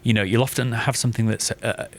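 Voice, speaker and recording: A man chatting casually and enthusiastically about creative work, effusive and often halting to restate himself with emphasis. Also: mid-range voice, steady tone. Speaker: writer and podcast guest.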